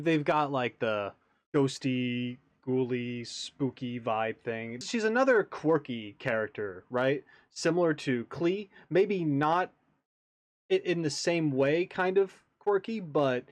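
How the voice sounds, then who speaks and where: A man's voice: a little high, no room sound. Casual man with a dry, close-miked sound. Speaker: reaction video host. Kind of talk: casual reaction commentary.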